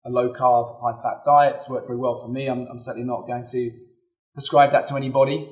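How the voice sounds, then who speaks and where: Slightly hoarse man coaching. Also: mid-range voice, level tone, a little fast, a little mellow. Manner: earnest, advisory, thinking aloud. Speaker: podcast host and business coach. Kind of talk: solo motivational podcast talk.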